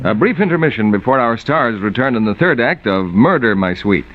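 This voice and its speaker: A man's voice: mid-range voice, expressive, a little fast, music in the background. A man announcing on 1940s radio, reading scripted narration and commercial copy with polished, emphatic salesmanship. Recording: muffled vintage 1940s radio broadcast.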